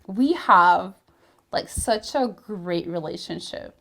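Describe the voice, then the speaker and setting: A woman's voice: lowish voice, expressive, slow pace, creaky, a bit bright. A woman in her thirties, a native Spanish speaker, hosting a casual interview and gushing with praise, with frequent "like" fillers. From Latin America, Spanish-speaking. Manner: effusive, many "like" fillers. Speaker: host.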